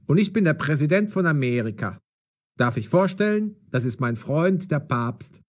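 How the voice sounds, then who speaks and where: An actor playing a police detective in an audio drama, commanding and mocking but fair. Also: male voice, fairly high pitch, sing-song, normal speed. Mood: mocking, bossy. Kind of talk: audio drama for language learners.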